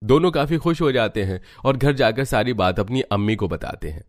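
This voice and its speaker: A man's voice: medium pitch, animated, fairly quick, dry recording. A man narrating a scripted cartoon family tale as its storyteller.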